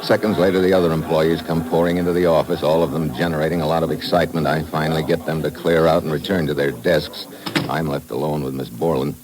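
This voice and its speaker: A man's voice: a bass voice, steady tone, quick pace. A man with an American accent playing a tough, wisecracking secret agent in a vintage radio drama, clipped and dry. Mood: wry. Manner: clipped, hard-boiled, questioning. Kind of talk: 1950s radio detective acting and narration.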